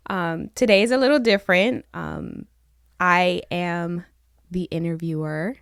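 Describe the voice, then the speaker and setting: Gravelly-voiced woman host, dry sound. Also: lowish voice, measured pace, fairly bright tone, dry recording. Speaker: host, interviewer. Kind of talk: casual interview chat.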